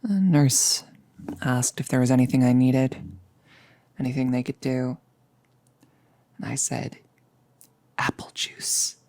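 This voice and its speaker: A close, dry ASMR roleplay voice with a mellow tone: a performer acting as a nervous girlfriend who jokes to cover it. The delivery is halting and confessional, unhurried, dipping into whispers. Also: mid-range voice, no echo. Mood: wry, reminiscing. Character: nervous, joking girlfriend confiding before becoming a vampire. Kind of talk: intimate ASMR audio roleplay.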